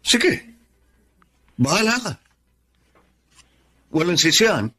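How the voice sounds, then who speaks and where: An actor playing a pushy, impatient young boyfriend in a Filipino radio drama, with a Filipino accent. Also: male voice, high voice, very animated, slow, sharp tone, slightly rough, full character voice. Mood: sulky, impatient.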